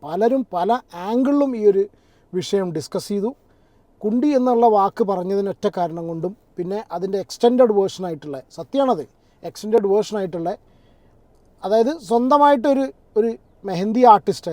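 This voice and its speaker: Mellow high-voiced man commentating. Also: dry recording. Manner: conversational, digressive, repeats and rephrases himself. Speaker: YouTube commentator reacting to online controversy. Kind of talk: casual spoken commentary.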